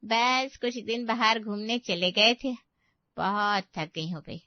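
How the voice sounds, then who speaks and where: Woman as slow, weary visiting neighbour. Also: mid-range voice, no echo, muffled recording. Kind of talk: dramatised family story.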